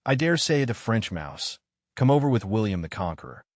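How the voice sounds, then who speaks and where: Curious, chatty young girl for a storybook, played by a male narrator: polite, earnest, lilting widely in pitch. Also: big pitch swings, normal speed, no echo, clean studio sound. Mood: puzzled. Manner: earnest, apologetic, rambling.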